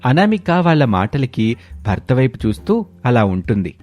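A man's voice: medium pitch, big pitch swings, normal speed, a little mellow, soft background music. A man narrating a scripted story as the storyteller.

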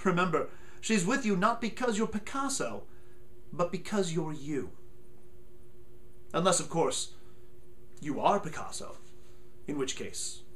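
A man in his thirties reads aloud in a mock-solemn, theatrical way. His voice sits high for a man and swoops widely in pitch. He takes his time, leaning on deliberate, emphatic pauses. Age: 30s. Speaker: comic performer reading aloud. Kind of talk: mock-solemn dramatic reading from a book. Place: small furnished room at home, indoors.

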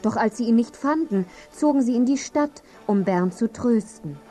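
A woman's voice: a little high, expressive, moderate pace, mellow, faint music behind. A woman reading scripted storybook narration for children as a narrator.

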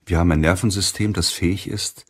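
A man reading a self-help audiobook in a measured, deliberate way with pauses. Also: sits low, steady tone, husky. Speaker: audiobook narrator. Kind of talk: scripted audiobook narration of a self-help book.

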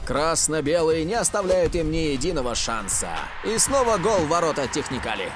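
Мужчина играет выразительного футбольного комментатора с высоковатым голосом. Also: male voice, a little high, animated, normal speed, over music, natural voice. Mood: impressed. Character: expressive, opinionated male cartoon football commentator. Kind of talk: sports commentary in animated cartoon.

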